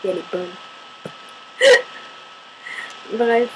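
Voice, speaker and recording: A woman's voice: fairly high pitch, wildly swooping pitch, drawn-out words, background noise. A woman from Paris chatting casually to camera as she answers viewer questions, chatty and self-mocking, swearing casually and arguing back and forth with herself. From Paris, France. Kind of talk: casual FAQ chat to camera.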